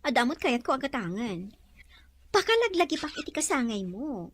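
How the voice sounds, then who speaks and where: A woman's voice: high-pitched, very animated, normal speed, full character voice. A woman with an Ilocano accent playing an envious, grumbling friend in a radio drama. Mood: envious, chatty. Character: envious woman friend grumbling about her stingy husband.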